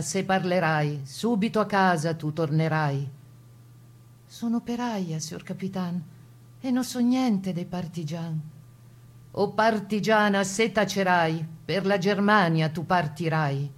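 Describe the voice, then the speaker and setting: A woman performing as the narrator of a partisan ballad, reciting dialect verse with a Veneto accent in a solemn, declamatory, husky voice. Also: lowish voice, a little slow. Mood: menacing, defiant. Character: poet-narrator of partisan ballad, voicing captor and defiant prisoner. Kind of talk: dramatic recitation of dialect poetry.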